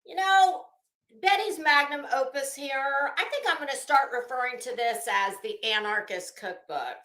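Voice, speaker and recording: A woman's voice: high voice, normal speed, a bit bright. A woman hosting a casual solo stream, rambling in an exclamatory, sardonic way. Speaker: host. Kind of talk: casual solo livestream chat. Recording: roomy, echoey room sound.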